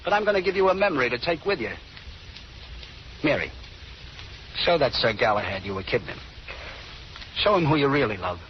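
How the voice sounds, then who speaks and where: An actor playing a jealous, menacing gangster in a 1930s radio drama, with an American accent and a curt, sneering, commanding way of talking. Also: male voice, mid-range voice, expressive, fairly quick, pauses often, natural voice. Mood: sneering threat. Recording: muffled, hissy old radio recording.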